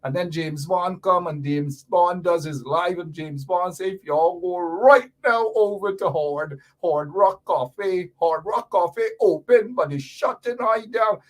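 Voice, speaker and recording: A man's voice: sits high, a little slow, hardly any pauses. A Guyanese man hosting a radio talk show, delivering fiery, sarcastic political commentary with mocking repetition.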